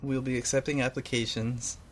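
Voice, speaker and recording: A man's voice: mid-range voice, flat delivery, brisk, slight background noise. A man co-hosting a homemade radio show, chatting casually in a joking, rambling and self-deprecating way. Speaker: co-host. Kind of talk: casual chat.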